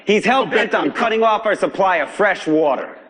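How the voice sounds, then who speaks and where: Fiery, high-pitched rebel broadcaster. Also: male voice, expressive, normal speed, a bit bright. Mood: scornful. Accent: American. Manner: emphatic, streetwise, preachy, swings between outrage and gravity. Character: fiery male underground broadcaster, angry, defiant, accusatory. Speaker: pirate broadcaster. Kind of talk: video game voice acting, pirate broadcast monologue.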